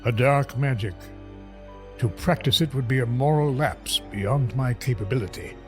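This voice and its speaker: An actor playing an evasive old male wizard with a halting, paternal manner in an audio drama. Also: mid-range voice, close to an everyday voice. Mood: evasive, uneasy. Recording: faint music under the voice.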